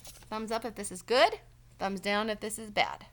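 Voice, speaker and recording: Bright-voiced woman reading to children. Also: medium pitch, moderate pace. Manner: expressive, simple child-directed explaining. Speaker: teacher. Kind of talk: children's read-aloud with explanatory asides.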